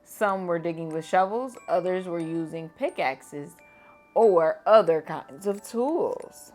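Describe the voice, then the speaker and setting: Slow, gentle woman reading a bedtime story. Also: fairly low pitch, expressive, faint music behind. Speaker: teacher reading aloud. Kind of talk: children's bedtime read-aloud.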